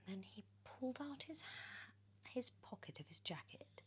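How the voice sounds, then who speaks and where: A British woman reading a storybook in ASMR style, drifting between soft whispers and expressive, animated speech. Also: a little high, normal speed, slightly rough. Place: on a sofa indoors.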